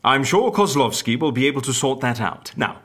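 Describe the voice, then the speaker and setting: A man playing an eccentric, theatrical agent in an audio drama, with a husky voice and a flamboyant, animated manner. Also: medium pitch, moderate pace. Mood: scheming, gentle. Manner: animated, flamboyant, old-fashioned exclamations. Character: eccentric, theatrical male agent who stages fake deaths.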